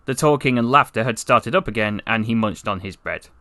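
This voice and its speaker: A man narrating a fantasy story in an expressive, theatrical audiobook style. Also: mid-range voice, fairly quick, no echo, clean studio sound. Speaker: voice actor reading a story for a portfolio.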